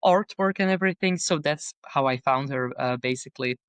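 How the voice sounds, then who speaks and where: A woman's voice: a bass voice, fairly quick, clear, resonant tone, no room sound. Chatty woman author, non-native English speaker. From Bosnia and Belgium. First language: not English; speaks French, Dutch, Bosnian. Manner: chatty, lots of fillers and restarts. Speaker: guest author. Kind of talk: casual podcast interview.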